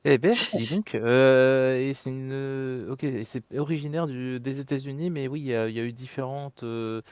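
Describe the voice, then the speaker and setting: A man's voice: mid-range voice, steady tone, moderate pace, darkish tone, clean tone, dry recording. A man hosting a casual discussion podcast, hesitant and full of fillers. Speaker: podcast host. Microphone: home microphone on voice chat.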